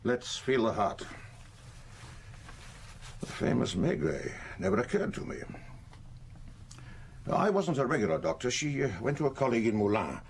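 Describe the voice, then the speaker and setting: An actor playing a brusque, matter-of-fact country doctor in a British radio drama, offhand and a little hesitant. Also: male voice, medium pitch, very animated, moderate pace, pauses often. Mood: brisk, offhand. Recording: old radio broadcast, faint noise.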